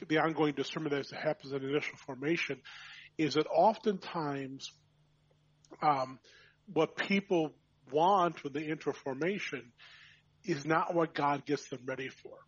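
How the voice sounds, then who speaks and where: Husky-voiced priest, reflective and warm-hearted. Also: male voice, a little high, no room sound, highs cut off. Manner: reflective, with frequent restarts and fillers. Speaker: priest and vocation director. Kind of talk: conversational podcast chat.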